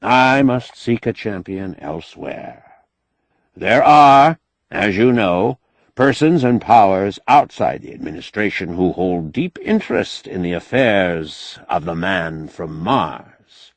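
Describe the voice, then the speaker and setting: A man's voice: low-pitched, animated, slow, no echo, full character voice. A man voicing a wily, indignant, eloquent doctor with pompous, ornate oratory in an audiobook. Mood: pleading, insistent. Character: wily, indignant, eloquent male doctor, master of his house. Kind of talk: audiobook character acting.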